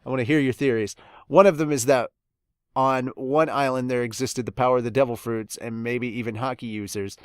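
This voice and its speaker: Male podcast reviewer, mid-range pitch, casual reactive delivery with halting read-alouds, dry close recording. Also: steady tone, clean tone, dry recording. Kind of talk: casual chat, reading out and reacting to fan comments.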